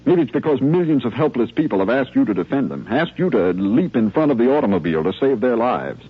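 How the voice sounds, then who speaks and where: A male actor playing an earnest army lieutenant who steadies his men in measured, rhetorical phrases, in a 1950s radio drama style. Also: medium pitch, big pitch swings, breathy edge. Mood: urgent, persuasive. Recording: muffled old 1950s radio recording.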